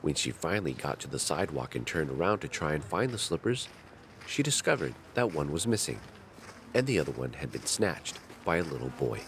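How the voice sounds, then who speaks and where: A man narrating a fairy tale in a measured, dramatic storytelling manner. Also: extremely low pitch, very animated, very noisy.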